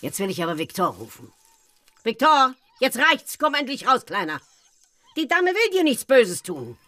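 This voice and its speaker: A woman's voice: a little high, wildly swooping pitch, normal speed, fairly bright tone. An actress playing a coarse, grasping slum woman in her fifties who haggles bluntly in a radio drama. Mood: scolding, calling. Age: 50s.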